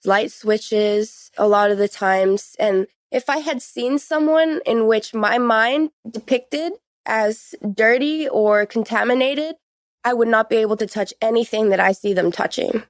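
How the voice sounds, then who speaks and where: A woman's voice: a little high, measured pace, no room sound. A woman in her late teens giving a conversational personal account as a podcast guest.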